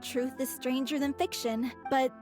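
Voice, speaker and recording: A woman's voice: high voice, fairly even intonation, measured pace, a little mellow. An actress voicing a sincere, polite young woman who performs with a theatre troupe, in a video game. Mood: sincere, wistful. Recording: music behind the voice.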